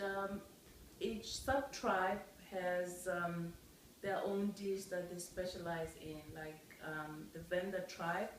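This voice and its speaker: A middle-aged woman answering a child's interview questions in a relaxed home chat, explaining things with frequent ums. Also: medium pitch, a little hiss or hum. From Southern Africa. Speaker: interviewee, parent. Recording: echoey room, mic far off.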